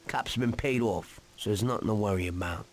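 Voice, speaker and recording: A man's voice: fairly low pitch, a little fast, lightly acted. A man playing an easygoing, streetwise gangster in a crime video game, talking in casual slang. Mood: breezy. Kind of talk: casual dramatic dialogue.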